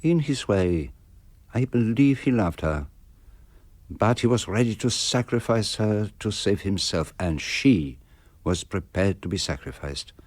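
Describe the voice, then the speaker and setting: An actor playing a shrewd, self-assured detective in a radio mystery, explaining things in a measured, deliberate way. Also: male voice, fairly low pitch, expressive, a little mellow, dry recording. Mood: grave, knowing. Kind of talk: radio mystery drama acting.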